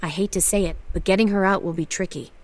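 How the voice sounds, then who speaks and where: An actress voicing a calm, analytical female fantasy creature scientist in a video game, measured and reassuring. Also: medium pitch, natural voice. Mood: grave, analytical. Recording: loud clicky background noise.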